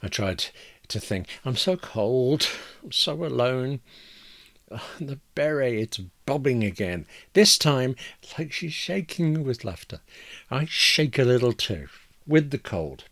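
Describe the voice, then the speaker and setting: A man's voice: mid-range voice, lightly acted. A man voicing a bitter, anxious woman in a dramatic first-person story, with a wry, agitated, self-interrupting manner. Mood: forlorn, wry. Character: bitter, anxious woman stewing after losing her job.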